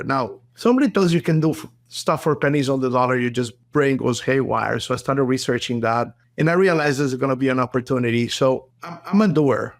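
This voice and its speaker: A man's voice: medium pitch, no echo, studio quality. A middle-aged man with a Colombian accent, a native Spanish speaker, telling stories casually as an interview guest. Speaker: podcast guest, real estate entrepreneur. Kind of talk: casual anecdotal interview talk.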